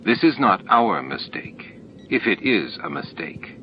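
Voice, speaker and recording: A man voicing cryptic alien entities in an audiobook, solemn and insistent, with deliberate, stilted phrasing and pauses between words. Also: lowish voice, sing-song, dull audio, a little hiss or hum. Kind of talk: audiobook character dialogue.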